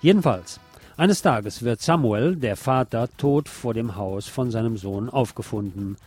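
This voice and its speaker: A man's voice: mid-range voice, measured pace, a little mellow, breathy edge, no echo, faint music behind. Man presenting a scripted film review. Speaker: film critic presenting a recommendation.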